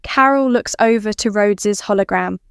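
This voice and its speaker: A woman's voice: mid-range voice, normal speed, smooth, dry recording, clean studio sound. A woman narrating scripted fan fiction as a storyteller.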